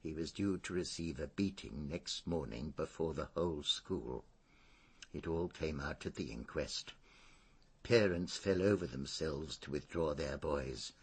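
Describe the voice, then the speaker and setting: An actor playing a fussy, dignified older former schoolmaster in a radio drama, an English man speaking in a hesitant, precise, formal way with a husky voice. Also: a bass voice, full character voice. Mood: grave, sorrowful.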